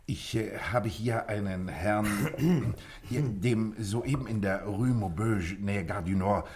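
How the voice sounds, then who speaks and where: A deferential male police sergeant in a radio drama, with a fairly low voice. He reports in a halting, fussy way, keen to please his superior. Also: darkish tone, a little hiss or hum. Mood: halting, dutiful. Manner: hesitant, halting, eager to please. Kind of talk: German radio drama acting.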